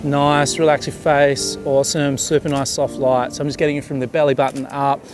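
A man in his thirties directing a beach photo shoot, relaxed and chatty, with many restarts and fillers, recorded outdoors at the shore. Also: mid-range voice, fairly quick. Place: open sandy beach at sunset, outdoors. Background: music.

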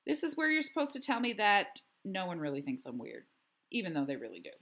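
A woman's voice: mid-range voice, wildly swooping pitch, fast talker, fairly bright tone. A woman voicing a friendly but wounded young schoolgirl in a storybook, earnest and confiding. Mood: hurt.

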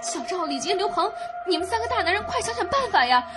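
A woman's voice: very high voice, expressive, fast talker, over loud music. A woman playing a frightened, complaining young traveller in a radio drama. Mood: anxious, complaining.

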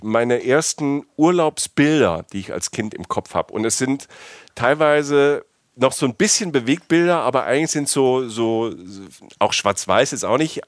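A man's voice: medium pitch, no room sound, clean studio sound. A man from south-west Germany, middle-aged, chatting casually and enthusiastically as a podcast host. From Palatinate, south-west Germany. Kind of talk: casual chat.

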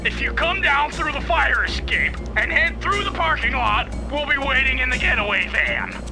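A man's voice: very high-pitched, sing-song, normal speed, heavily acted, rough recording. A man voicing a big, eager cartoon creature, an exuberant getaway driver in a video game. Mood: eager, upbeat. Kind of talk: video game character acting. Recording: game dialogue over music.

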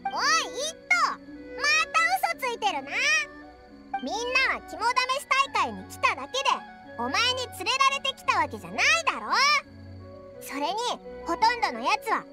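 A woman voicing a small, childlike, boastful fairy companion with a squeaky voice in an anime game. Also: wildly swooping pitch, normal speed, heavily acted. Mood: cheeky, boastful. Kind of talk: Japanese anime game voice acting. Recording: bouncy children's music behind.

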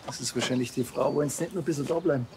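An actor playing a blunt, awkward middle-aged village policeman in a TV crime comedy, with a Bavarian accent and a halting, deadpan delivery. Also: medium pitch, noisy background, close to an everyday voice.

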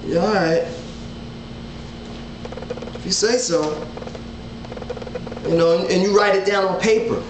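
A man's voice: sits high, slow pace, long pauses, noisy background. Earnest man teaching Bible study at home. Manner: earnest, animated hand gestures, repeats words. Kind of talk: casual online Bible teaching. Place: living room at home, indoors. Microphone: webcam at desk distance. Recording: roomy home webcam audio.

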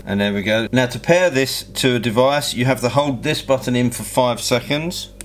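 A man's voice: medium pitch, moderate pace, soft background music. A man giving a hands-on product review in a practical, matter-of-fact, explanatory way. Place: indoor motorcycle workshop bench.

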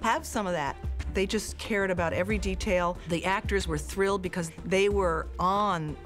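A woman's voice: medium pitch, normal speed. A woman telling anecdotes in a behind-the-scenes film interview, repeating words for emphasis. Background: music.

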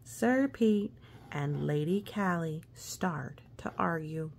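A woman reading a children's storybook aloud expressively, with gentle asides and hushed, suspenseful phrasing. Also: low voice, takes it slowly, vocal fry, slight background noise. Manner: expressive, hushed suspense, often dropping to a whisper. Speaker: storybook reader.

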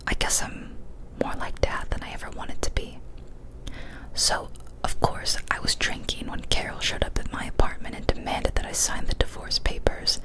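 A young woman whispering softly and breathily, close to the microphone, in a careful, hushed ASMR storytelling style. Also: moderate pace, low-quality recording. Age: young adult. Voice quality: soft, breathy unvoiced whisper. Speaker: ASMR creator reading scary stories. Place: small decorated room, indoors. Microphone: close studio microphone on a boom arm.

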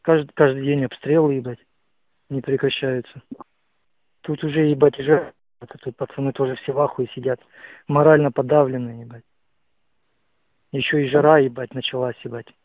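A man's voice: medium pitch, fairly even intonation, normal speed, long pauses, a little mellow, no echo. A man, a soldier, grumbling with constant swearing in a casual intercepted phone call. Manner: grumbling, profane.

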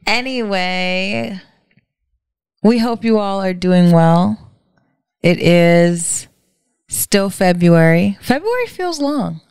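A young woman co-hosts a relaxed podcast from home, chatty and animated. Her voice has a slight gravel and a smooth, resonant edge. She sits close to a desk mic in a dry room. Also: medium pitch, slow, long pauses, dry recording. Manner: chatty, laughs often, animated. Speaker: podcast co-host. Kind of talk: casual chat. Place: home living room by a staircase. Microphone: studio mic on a desk stand, headphones on.

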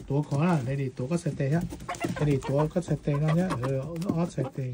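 A man's voice: a little high, fast, noisy background. A man chatting casually with his child while feeding chickens, filmed on a handheld phone in an outdoor coop. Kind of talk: casual family chat. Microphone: handheld phone camera. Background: clucking chickens.

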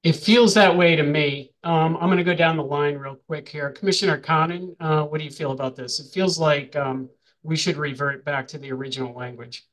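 Higher-voiced man chairing a hearing. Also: steady tone, fairly quick, a bit bright, poor audio. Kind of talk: moderating a meeting with questions. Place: remote video call.

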